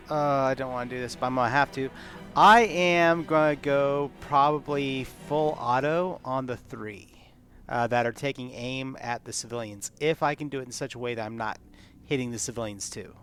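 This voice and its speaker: A man's voice: mid-range voice, normal speed, fairly bright tone, faint music behind. A man chatting casually as a player in a tabletop roleplaying game, thinking through his moves aloud. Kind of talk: casual chat while playing. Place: live online game stream.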